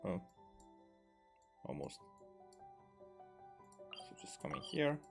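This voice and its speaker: A man records casual game commentary, mostly muttering to himself, with exclamations. His delivery is slow but swings widely in pitch. Music plays clearly behind him. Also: very animated. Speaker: gamer recording a playthrough. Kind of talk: casual let's play commentary.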